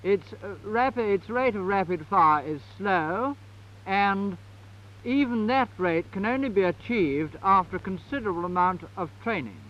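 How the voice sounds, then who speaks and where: A British man with a notably high voice speaks as a military officer. His delivery is formal and measured, like a prepared briefing. The sound is dull, from 1950s newsreel film. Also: expressive. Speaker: army officer, lieutenant-colonel.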